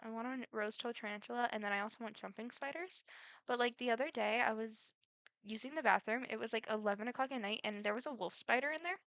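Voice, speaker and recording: A woman's voice: a little high, fast, creaky, a bit bright, no echo. A teenage girl with a Midwestern accent, answering get-to-know-me questions in a chatty, rambling way full of fillers. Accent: Midwestern American. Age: teens. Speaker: vlogger.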